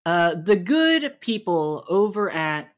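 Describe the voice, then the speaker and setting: A woman's voice: lowish voice, big pitch swings, slow pace. A woman hosting a casual livestream game show, explaining the rules at length with many fillers.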